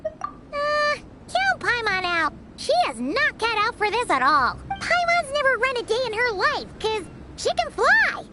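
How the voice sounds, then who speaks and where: Casting: extremely high, swooping voice for a tiny excitable fairy sidekick, anime game, loud music behind. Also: female voice, measured pace, heavily acted. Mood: reluctant, cheeky. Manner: refers to self in third person, exclamatory. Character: tiny flying fairy girl companion, excitable and boastful. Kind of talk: anime game character acting.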